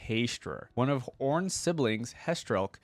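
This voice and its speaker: A man's voice: medium pitch, lively intonation, slow, dry recording. A young man giving casual reaction commentary as a video host, informal and easygoing, thinking aloud as he goes. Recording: dry, faint music behind.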